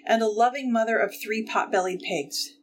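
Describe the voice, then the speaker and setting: A woman's voice: a little high, normal speed, a little hiss or hum, natural voice. A woman acting a blunt, playful, quirky city woman in a romantic comedy audio play. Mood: playful, exasperated. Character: Destiny, brash, quirky, wry single city woman.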